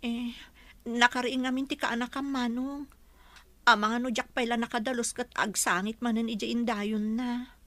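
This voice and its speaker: A woman's voice: fairly high pitch, flat delivery, moderate pace, fairly bright tone, dry recording, close to an everyday voice. A woman with an Ilocano accent playing a weary, pleading young mother who works as household help, in a radio-style drama. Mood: aggrieved, weary.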